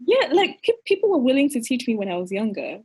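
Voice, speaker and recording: Breathy young woman, animated podcast guest. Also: fairly high pitch, fast talker. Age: young adult. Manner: animated, giggly, fillers like "like". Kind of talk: casual interview chat.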